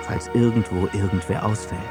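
An actor playing a scriptwriter who narrates and sets scenes in clipped phrases in a radio drama. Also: male voice, low voice, fairly even intonation, normal speed, over music, lightly acted. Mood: scene-setting.

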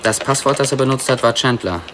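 Actor as a wry computer expert, noisy background. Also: male voice, medium pitch, fast, lightly acted. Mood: explanatory, pleased. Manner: explanatory, wry. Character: smug, clever male computer expert, wry and pedantic. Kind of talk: radio drama dialogue.

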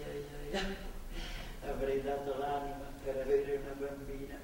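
An actress playing a homely, feisty older mother who coaxes and tells stories in a stage drama. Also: low voice. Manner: coaxing, chatty, storytelling. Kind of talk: live stage drama dialogue. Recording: distant echoey theatre hall, faint noise.

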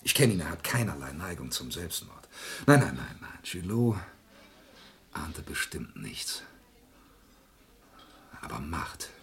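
A man's voice: a bass voice, sing-song, slow pace, pauses often, a bit bright, full character voice. An actor playing a lonely, bitter antique dealer in a radio crime drama, stammering and theatrical in his agitation. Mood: desperate. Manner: agitated, stammering, theatrical, swinging between murmurs and outbursts.

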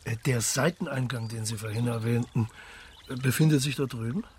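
A man's voice: medium pitch, fairly quick, slight background noise, lightly acted. A man playing a patient, matter-of-fact Italian police marshal questioning a witness in a German radio crime play. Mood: probing. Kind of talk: naturalistic German radio crime drama acting.

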